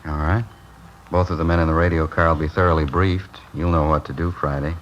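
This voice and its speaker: An actor playing a businesslike police captain in a 1950s radio drama, giving brisk, matter-of-fact orders. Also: male voice, a bass voice, steady tone, highs cut off, a little hiss or hum.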